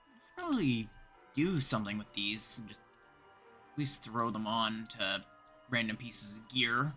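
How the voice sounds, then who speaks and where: A man's voice: lowish voice, expressive, unhurried, pushed and bright, faint music behind. A man with a Canadian accent, chatting casually as a livestream host and thinking aloud while he plays.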